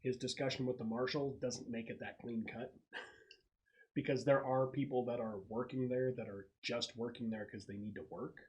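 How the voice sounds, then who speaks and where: A man's voice: mid-range voice, fairly even intonation, normal speed. A man explaining and narrating casually as game master of a tabletop roleplaying session. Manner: explanatory, conversational.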